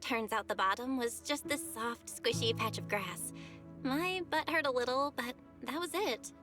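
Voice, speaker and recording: A woman playing a warm, upbeat young female companion in an anime game, gentle and expressive. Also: a little high, normal speed, close to an everyday voice. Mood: gentle storytelling. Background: game music.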